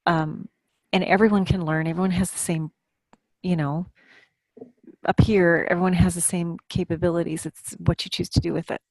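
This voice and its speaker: A woman with a slightly low voice speaks in a casual group discussion, captured dry and close. She is earnest and leans on key words for emphasis. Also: little pitch movement, moderate pace, darkish tone, dry recording, rough recording. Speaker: author, podcast panelist.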